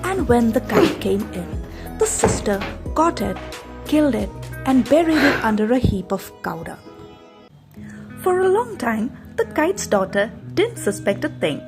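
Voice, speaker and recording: A woman narrating a scripted folktale as a storyteller, in an expressive, dramatic manner. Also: fairly high pitch, a little slow. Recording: music behind the narration.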